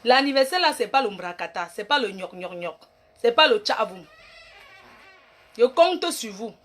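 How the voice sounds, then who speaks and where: A woman's voice: on the high side, lively intonation, normal speed, a bit bright. A woman playing a brash, boastful self-styled queen mother in a rambling comic monologue. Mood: bossy. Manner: bossy, rambling, mock-grand, trash-talking. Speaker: comic online personality. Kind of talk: comic live-stream monologue.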